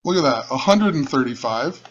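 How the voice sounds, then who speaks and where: A man with a rough voice, rambling and musing as he talks through his own video channel. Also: mid-range voice, animated, fairly quick, lo-fi sound, a little hiss or hum. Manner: musing, rambling, repeats himself. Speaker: channel host. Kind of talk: casual screen-share commentary.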